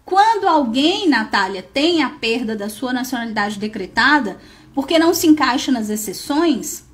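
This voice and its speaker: A high-voiced Brazilian woman teaches law over a live online stream. She explains with lively energy and often poses questions before answering them herself. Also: moderate pace. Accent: Brazilian Portuguese. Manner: animated explaining, asks and answers her own questions. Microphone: phone or webcam, live video stream.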